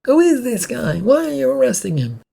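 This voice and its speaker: Narrator as an excitable bystander, nosy, calling out, raised pitch, raspy, story character. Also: normal speed, exaggerated voice. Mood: curious, shouting. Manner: calling out loudly.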